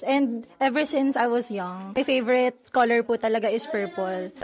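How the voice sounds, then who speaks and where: A young woman from the Philippines answers interview questions, slipping between English and Filipino and adding polite particles. Her voice sits a bit high. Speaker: group member.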